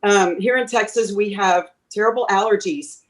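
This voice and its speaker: A woman's voice: mid-range voice, moderate pace, low-quality recording. A woman voice teacher being interviewed, talking chattily and advising from experience, with lots of fillers and restarts. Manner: speaks from a teacher's experience, giving advice. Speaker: voice teacher, guest. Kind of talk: conversational interview answers.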